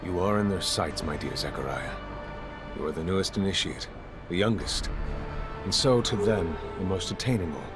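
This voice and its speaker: A man acting a very deep-voiced solemn mentor. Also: music in the background, natural voice. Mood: grave warning. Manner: solemn, theatrical, measured. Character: weary, wary mentor, solemn male master technomancer. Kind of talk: video game dialogue.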